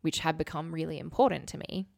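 Creaky, low-voiced woman, close dry sound. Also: fast, dry recording. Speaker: podcast co-host. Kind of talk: candid casual chat.

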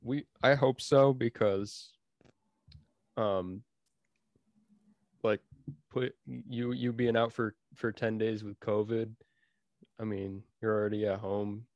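A young man co-hosting a casual sports podcast, easygoing and halting, with plenty of fillers and restarts. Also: lowish voice, steady tone, no echo, highs cut off. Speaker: podcast co-host.

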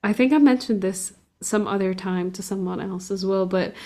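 A woman's voice: mid-range voice, moderate pace, dark tone. A young woman from Portugal chatting casually, rambling with lots of fillers and restarts. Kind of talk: casual conversation.

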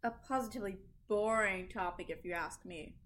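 A woman's voice: medium pitch, fairly quick, a bit bright, close to an everyday voice. A woman playing a haughty, dismissive male French sugar planter who co-hosts a history roleplay podcast. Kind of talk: amateur scripted roleplay.

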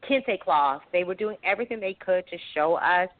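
A woman's voice: fairly low pitch, expressive, moderate pace, dry recording. A woman from the United Kingdom, raised in Florida, speaking earnestly and outspokenly as a guest on a call-in show, often restarting her sentences. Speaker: guest, educator and writer. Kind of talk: call-in radio interview. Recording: tinny phone line.

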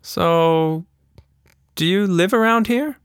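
A man voicing a curious, lively young girl who talks eagerly. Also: high voice, slow pace, clear, resonant tone, dry recording, clean studio sound, pitched above the performer's own voice.

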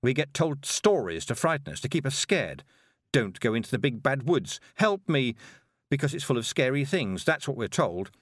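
A man's voice: mid-range voice, big pitch swings, normal speed, dry recording, clean studio sound, pitched above the performer's own voice. A man voicing a headstrong young girl witch, impatient and scornful. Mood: defiant.

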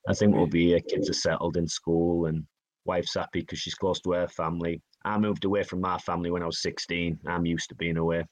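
Low-voiced man, chatty. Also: level tone, brisk, low-quality recording. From Northeast England. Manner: chatty, self-deprecating, quotes others in dialogue. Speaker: guest, hockey player. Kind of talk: casual interview storytelling.

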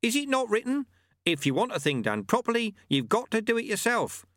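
Man as high-pitched, breezy sweeper monk. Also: huge pitch swings, brisk, fairly bright tone, dry recording, studio quality, heavily acted. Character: wry, unruffled male sweeper monk, fond of proverbs.

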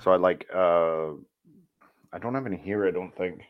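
Deep-voiced man chatting loosely. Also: moderate pace, no echo, phone-quality sound. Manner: rambling, relaxed storytelling with fillers. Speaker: voice actor guest. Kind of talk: casual interview chat.

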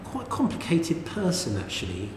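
A man's voice: moderate pace, a little hiss or hum. A middle-aged man, co-hosting a casual chat and telling anecdotes. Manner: chatty anecdotal storyteller, lively swings in pitch. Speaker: podcast co-host. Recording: echoey room with long reverb.

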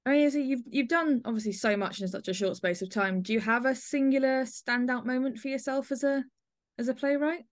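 A woman's voice: high voice, a little fast, dry recording. Female podcast host, dry recording, effusive, complimentary, conversational interviewing.

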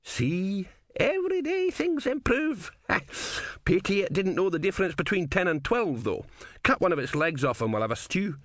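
A man voicing a shrewd, excitable old male philosopher who gambles, in a high wheedling voice. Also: normal speed, no room sound, clean studio sound. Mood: gleeful. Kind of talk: audiobook character voice.

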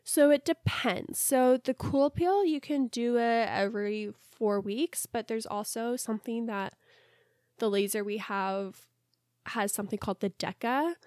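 A young woman with a stuffy, congested voice, co-hosting a podcast in relaxed, rambling chat. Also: a little high, a little slow, dry recording, clean studio sound. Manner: chatty, relaxed, rambling with fillers. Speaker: podcast co-host, esthetician. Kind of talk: casual chat.

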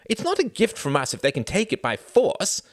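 A man voicing a gruff, blustering British official in an audiobook, exclaiming often. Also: high-pitched, huge pitch swings, moderate pace, no room sound, studio quality, pitched above the performer's own voice.

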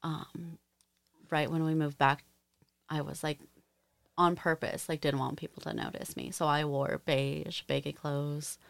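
A young woman co-hosting a podcast, speaking softly and hesitantly, often trailing off. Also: low-pitched, little pitch movement, measured pace, gravelly, no echo. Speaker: podcast co-host. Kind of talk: casual chat.

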